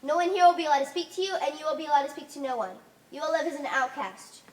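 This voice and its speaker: A woman's voice: high-pitched, racing, lightly acted. A woman on stage playing a stern, commanding leader of a strange cult, speaking in formal, solemn declarations. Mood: solemn, threatening. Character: stern, commanding cult leader of blue people, addressed as Holy One. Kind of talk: stage play acting. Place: theatre stage. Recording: live stage recording.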